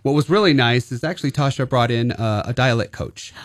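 A man's voice: medium pitch, brisk, no room sound, clean studio sound. A male stage actor being interviewed, hesitant, with many restarts. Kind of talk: casual interview.

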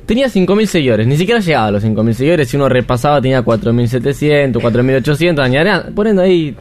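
A man's voice: medium pitch, lively intonation, very fast, a little mellow. A man with an Argentine accent explaining a story in casual radio chat. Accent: Argentine Spanish. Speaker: radio segment reporter.

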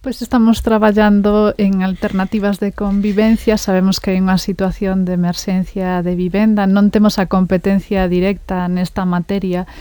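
A woman's voice: mid-range voice, dark tone, clear, resonant tone, no echo. A woman with a Galician accent giving formal, explanatory answers as a university representative in an interview.

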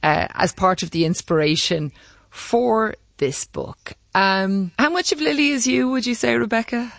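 A woman's voice: a little high, measured pace, gravelly, no room sound. A woman interviewing an author on the radio, asking conversational questions.

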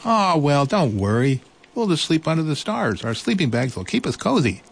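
A man's voice: fairly high pitch, very animated, moderate pace, gravelly, pitched above the performer's own voice, exaggerated voice. A man voicing a small, cheerful female mouse who is an eager camper in a read-aloud storybook. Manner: eager, chirpy.

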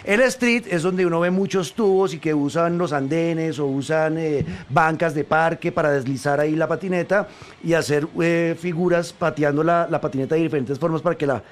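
A man's voice: a little high, steady tone, normal speed. Man hosting a live interview. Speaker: host.

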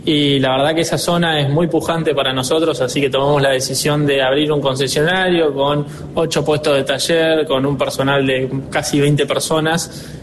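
A man in his twenties with an Argentine accent, answering interview questions as a dealership director, earnest and upbeat, often pausing on fillers. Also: fairly high pitch, steady tone, background noise. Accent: Argentine Spanish. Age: 20s.

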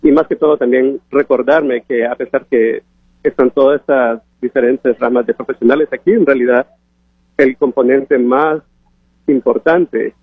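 A young man with a Honduran accent and a husky voice, answering an interview hesitantly, searching for words. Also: medium pitch, steady tone, moderate pace, dry recording. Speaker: interviewed master's student. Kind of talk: unscripted radio interview answer. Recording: phone-like radio broadcast sound.

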